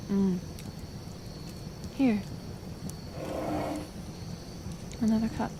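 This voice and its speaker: A woman playing a kind, stubborn, lonely half-elf healer in an intimate ASMR roleplay, speaking softly and hesitantly with soothing pauses. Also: medium pitch, noisy background, lightly acted. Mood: earnest, tender.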